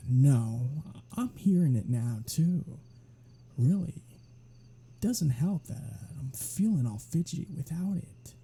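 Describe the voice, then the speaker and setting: A performer voicing a teasing, unrepentant vampire in an ASMR roleplay audio drama, with a husky, breathy voice and a sardonic, casual manner. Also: mid-range voice, full character voice. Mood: defensive, wry. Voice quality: husky, breathy, dark-toned. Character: teasing, unrepentant vampire predator travelling with human hunter.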